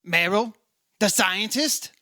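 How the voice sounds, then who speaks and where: A man's voice: high-pitched, slow pace, pushed and bright, no echo, exaggerated voice. A man playing a wry, breezy insurance investigator in 1950s-style radio detective drama, dry and wisecracking. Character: wry, breezy male insurance investigator, hard-boiled but kindly.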